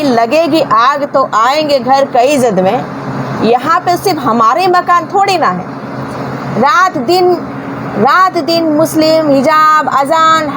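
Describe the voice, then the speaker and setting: A woman with a high voice delivers informal news commentary. She sounds indignant and scolding, leaning on emphatic rhetorical questions to make her points. There is clearly audible noise behind her. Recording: clearly noisy background.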